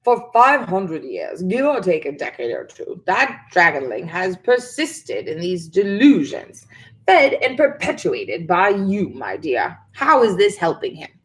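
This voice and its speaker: An ancient male swamp monster, as a woman reads him, slow and dry in his talk. He sounds weary and unbothered, drawing out his words as he lectures. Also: lowish voice, big pitch swings, low-quality recording. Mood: lecturing.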